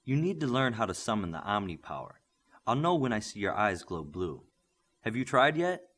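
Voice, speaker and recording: Man as a firm, low-voiced superhero mentor. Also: moderate pace, breathy edge, exaggerated voice. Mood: patient, encouraging. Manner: instructive, steady coaching. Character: patient, firm adult male superhero mentor.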